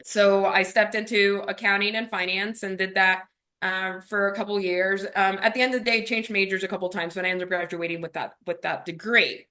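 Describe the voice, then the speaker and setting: This is a woman from Miami whose first language is Spanish. Her voice is bright and forward at a middle pitch. She speaks as an interview guest, reflecting on her own story and punctuating it with small rhetorical questions. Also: steady tone, brisk. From Miami, USA.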